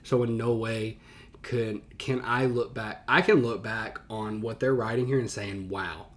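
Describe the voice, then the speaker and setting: A man with an American accent reacting out loud as a teacher, in casual slang, thinking as he goes and paraphrasing in animated fashion. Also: lowish voice, unhurried. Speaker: teacher reacting to a historical reading.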